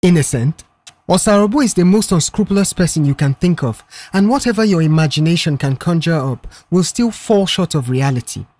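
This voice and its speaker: A woman voicing a principled young male mechanic in an audio story, firm, measured and blunt. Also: sits low, a little mellow, breathy edge. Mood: scornful, certain.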